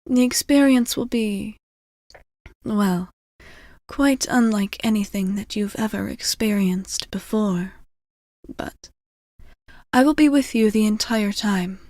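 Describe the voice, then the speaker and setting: A woman playing a gentle, caring vampire bartender in an intimate ASMR roleplay, soft-spoken and reassuring, often slipping into whispers. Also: medium pitch, slow, pauses often, no echo. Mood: tender, reassuring. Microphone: close ASMR microphone.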